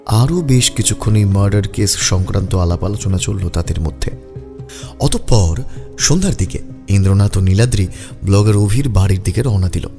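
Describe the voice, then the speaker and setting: A man's voice: on the low side, normal speed, gravelly. A man reading scripted narration for a detective audio story. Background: music.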